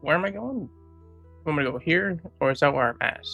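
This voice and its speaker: A man's voice: fairly high pitch, animated, fast talker, gravelly, bright, forceful tone, muffled recording. A man giving casual, offhand gameplay reactions as a streamer, informal and prone to swearing. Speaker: video game streamer. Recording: faint game music behind.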